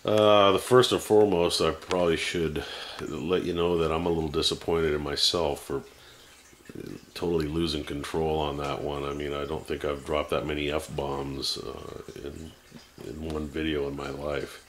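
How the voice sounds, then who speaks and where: Very deep-voiced man in his forties rambling. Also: little pitch movement, normal speed, a little hiss or hum. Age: 40s. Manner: rambling, many fillers and restarts; grows heated and ranting. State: teary-eyed. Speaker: vlogger. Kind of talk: unscripted talk to camera.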